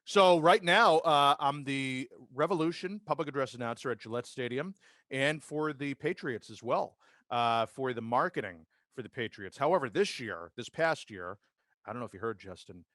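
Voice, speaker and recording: A man's voice: mid-range voice, normal speed, fairly bright tone, no echo, studio quality. A man with an American accent, telling stories about his working life in a casual interview. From Massachusetts, United States. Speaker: podcast guest, sports public address announcer and radio host. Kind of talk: casual conversational interview, career storytelling.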